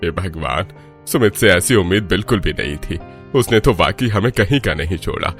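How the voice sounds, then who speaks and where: A man's voice: deep voice, expressive, moderate pace, a bit bright, faint music behind. A man voicing an elderly, kindly father-in-law in a cartoon family story. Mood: shocked, despairing. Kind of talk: Hindi cartoon story dialogue.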